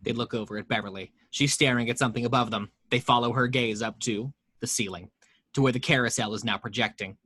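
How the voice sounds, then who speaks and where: A man's voice: mid-range voice, dry recording. Man narrating stage directions, dry. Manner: steady reading of stage directions, voicing sound effects. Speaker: narrator reading action description. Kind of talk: table read narration.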